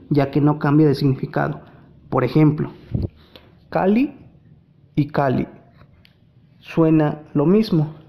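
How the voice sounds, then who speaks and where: A man from Mexico whose first language is Nahuatl, reading a language lesson in a measured, deliberate way. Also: fairly high pitch, creaky, highs cut off. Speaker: language teacher.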